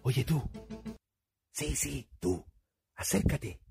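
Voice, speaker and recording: An actor playing a secretive stranger who beckons the listener in close in an advertisement, over loud music. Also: medium pitch, animated, drawn-out words, gravelly, heavily acted. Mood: conspiratorial. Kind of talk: advertising spot acting.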